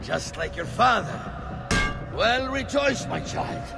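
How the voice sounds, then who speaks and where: A power-hungry old Pope as a game villain. His high-pitched voice is heavy with gravel and swings widely. He is pompous and menacing over clear music. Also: animated, takes it slowly, exaggerated voice. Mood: gloating, menacing. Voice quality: gravelly, creaky. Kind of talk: theatrical video game villain acting. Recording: loud music behind.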